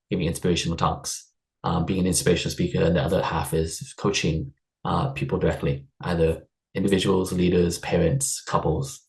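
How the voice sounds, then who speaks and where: A man with a deep, low-pitched voice coaches a group through a live online workshop. He talks in a chatty, upbeat way, with plenty of ums and easy laughs. The sound comes through a video-call mic. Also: low-quality recording. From United States (Seattle area). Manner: upbeat, chatty, laughs often, many fillers. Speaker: stress and wellbeing coach leading a workshop.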